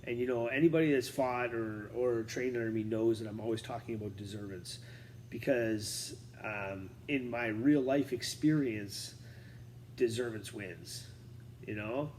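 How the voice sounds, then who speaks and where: A martial arts coach talking casually while seated, full of fillers and restarts but fired up. His voice sits in an ordinary middle pitch, with a faint background hiss. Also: measured pace, darkish tone, rough recording. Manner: rambling, filler-heavy, fired up. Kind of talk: casual talk to camera. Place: seated on a chair indoors.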